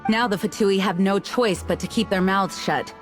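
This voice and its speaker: A woman's voice: mid-range voice, lightly acted. A woman voicing a composed, formal female knight commander. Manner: measured, formal. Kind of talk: game cutscene dub. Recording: game cutscene with faint music.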